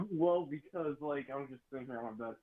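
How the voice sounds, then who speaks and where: A young man with a deep voice calling in from his bed, talking in a loose, crude, drawn-out way. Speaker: caller. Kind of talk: phone call-in. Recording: tinny phone line.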